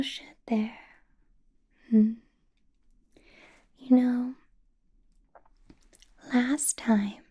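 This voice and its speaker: A woman's voice: mid-range voice, mellow, husky, exaggerated voice. A woman whispering as a centuries-old female vampire boss, teasing and possessive, in an ASMR roleplay. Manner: whispered throughout, teasing, menacing, playful laughs.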